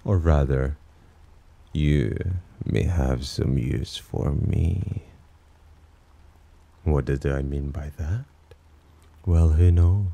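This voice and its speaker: Man voicing a very deep, husky teasing demon. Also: very slow, pauses often, mellow, exaggerated voice. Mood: intrigued, then coy, teasing. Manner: smug, drawling, theatrically teasing. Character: teasing, possessive male demon sheltering a human. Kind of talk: ASMR roleplay, slow intimate character acting.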